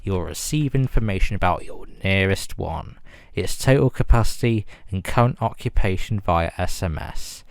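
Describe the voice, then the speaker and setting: A man reading a scripted emergency broadcast announcement in a formal, measured and grave manner. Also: deep voice, expressive, vocal fry, smooth, no room sound, clean studio sound.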